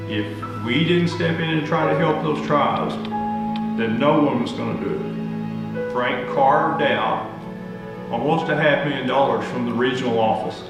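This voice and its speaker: A man speaking earnestly and plainly in a recorded tribute to a colleague. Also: medium pitch. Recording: video played in an echoey hall over music.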